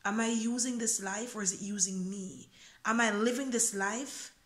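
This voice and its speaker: A young woman from Jamaica delivers a freestyle poem straight to her phone camera. Her voice sits a little high and carries a searching, earnest tone as she asks question after question. The small room around her adds a noticeable echo. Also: fairly even intonation, normal speed. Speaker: spoken word poet. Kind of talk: freestyle spoken word poetry. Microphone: phone camera close up.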